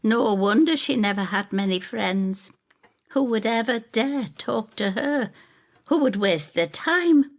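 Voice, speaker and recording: A woman doing a tart, rueful older woman, high-pitched. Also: expressive, slow pace, heavily acted. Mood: rueful, bitter. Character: Effie, bitter, self-critical older Whitby woman, a witch. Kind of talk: audio drama storytelling dialogue.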